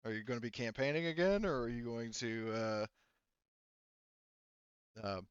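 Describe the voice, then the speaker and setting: A man working as game master, narrating a tabletop roleplaying game in a halting, informal way. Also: mid-range voice, expressive, clear, resonant tone, dry recording, dull audio. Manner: halting, informal, talks around points.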